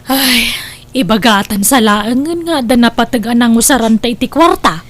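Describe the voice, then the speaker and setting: A woman's voice: a little high, animated, normal speed. A woman with an Ilocano Filipino accent playing a scheming, guilt-ridden mother in an audio drama. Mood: hushed, scheming. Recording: music under the voice.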